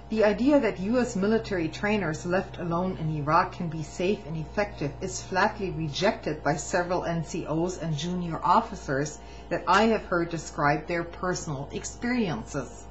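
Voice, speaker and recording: A woman reading a written testimony aloud in a measured way, now and then stumbling and restarting a phrase. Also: fairly low pitch, soft background music. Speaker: reader of a public document. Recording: muffled lo-fi recording with faint hum.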